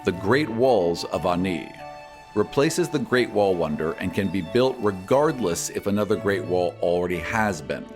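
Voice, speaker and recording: A man hosting a podcast, reading scripted fantasy lore and answers in a measured storyteller's manner. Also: sits low, lively intonation, over music. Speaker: podcast host and game master. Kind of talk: scripted narration answering listener questions.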